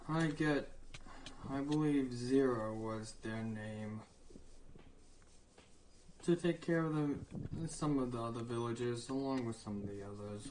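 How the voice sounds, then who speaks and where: An unhurried, halting speaker improvises casual role-play during a game livestream. Sentences often trail off. Keyboard clicks and faint noise sit behind the voice. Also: medium pitch, a little mellow, rough recording.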